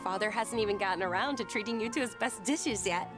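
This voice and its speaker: A woman voicing a sheltered, gentle young noblewoman who pleads softly. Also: medium pitch, fast talker, music in the background, natural voice. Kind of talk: game voice acting.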